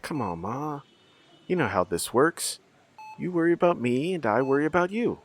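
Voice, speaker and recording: Scrappy teen son, highish voice, bouncy intonation, eager, defensive, earnest, audio drama. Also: male voice, lively intonation, moderate pace. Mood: reassuring. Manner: eager, defensive, boyish talk. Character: scrappy teenage son, cocky, earnest, protective of his mother.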